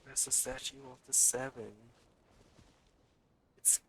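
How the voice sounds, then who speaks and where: A man's voice: mid-range voice, fairly even intonation, slow pace, sharp tone, a little hiss or hum, rough recording. Man casually streaming a game, thinking aloud. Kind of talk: casual live let's-play commentary.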